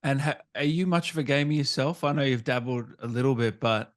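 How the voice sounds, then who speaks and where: A man works as an interviewer on a gaming show. His delivery is casual and conversational, as in an informal chat with a guest. His voice sits at a middle pitch. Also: steady tone, a little fast.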